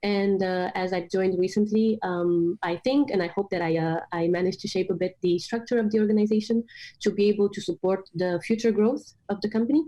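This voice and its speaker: A woman's voice: mid-range voice, fairly even intonation, brisk, mellow, highs cut off. A woman from Romania in her late twenties, speaking as an interview guest with many hesitant fillers. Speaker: guest, startup product manager. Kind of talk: remote interview.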